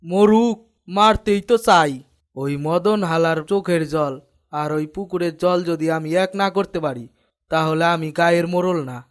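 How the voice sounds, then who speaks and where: A man's voice: a little high, moderate pace, smooth, natural voice. A man acting a pompous, vengeful village headman in a cartoon, speaking in a rural Bengali dialect with a threatening manner. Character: arrogant, vengeful village headman plotting revenge.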